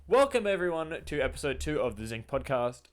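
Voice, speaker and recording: A man's voice: medium pitch, very animated, brisk. Man hosting a casual podcast. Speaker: podcast host.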